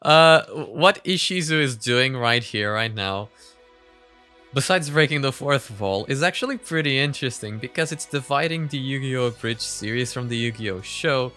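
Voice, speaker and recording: A man in his twenties giving casual, sweary reaction commentary in a halting way, close on a studio-style mic at home. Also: mid-range voice, lively intonation, pushed and bright, faint music behind. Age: 20s. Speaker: reaction video host. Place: small home room, indoors. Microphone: microphone on boom arm, headphones.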